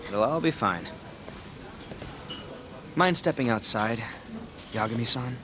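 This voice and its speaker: A man's voice: mid-range voice, expressive, takes it slowly, noisy background. A man playing a polite, composed young club host in a video game dub.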